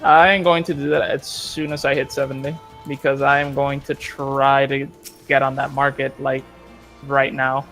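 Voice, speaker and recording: A man's voice: mid-range voice, flat delivery, moderate pace, vocal fry, fairly bright tone, soft background music. A man chatting casually as he plays an online game with friends. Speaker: co-player. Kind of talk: casual gaming chat.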